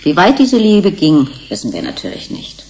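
Research scientist, slightly low, lively melody, composed, emphatic, radio play. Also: female voice, normal speed, a little hiss or hum, low-quality recording. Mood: frank, explanatory. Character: frank, composed female research team scientist.

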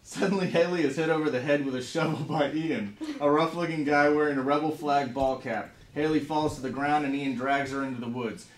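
A man's voice: a little high, a little fast, few pauses. Man in a roomy, distant meeting-room recording. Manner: informal, profane. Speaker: film writer-director leading a table read. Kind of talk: casual introduction, then reading script directions aloud. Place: meeting room with a conference table. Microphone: distant camera microphone. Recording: roomy, distant camera mic, background noise.

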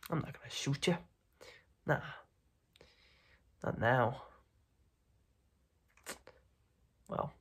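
Young guy doing a smug mob boss for asmr, husky half whisper, real slow and threatening. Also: medium pitch, full character voice. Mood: teasing menace. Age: young adult. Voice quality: breathy, raspy, often dropping into whisper. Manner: slow, halting, repeats words, quietly intimidating. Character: smug, menacing mob boss recruiting a kid. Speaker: ASMR roleplayer. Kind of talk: ASMR roleplay. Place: dim room at home, indoors.

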